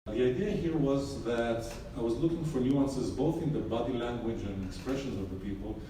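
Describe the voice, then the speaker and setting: A middle-aged photographer from Israel talks through his portraits in a loose, joking way, full of ums and asides. He is caught from a distance in a very echoey room with clear background noise. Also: medium pitch, moderate pace, warm tone. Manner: casual storytelling with frequent fillers and wry humor. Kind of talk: artist talk about his photographs. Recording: echoey room, mic far off, noisy.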